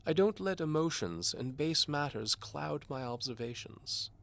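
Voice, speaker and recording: A man's voice: on the high side, lively intonation, a little slow, vocal fry, fairly bright tone, pitched above the performer's own voice, heavily acted. A man voicing a doddering, pompous old duke and amateur alchemist in an audio drama, fussy and formal. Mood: lofty. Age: older. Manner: pompous, formal, hesitant blustering. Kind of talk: audio drama character acting.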